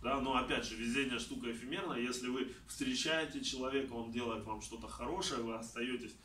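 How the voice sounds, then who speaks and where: A man's voice: mid-range voice, fairly quick. Man speaking from across a roomy space. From Kazakhstan. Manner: animated, gestures a lot while talking. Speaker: traveler giving a talk. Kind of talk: conversational talk answering audience questions. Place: small indoor room. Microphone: far camera. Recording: roomy, distant, faint background noise.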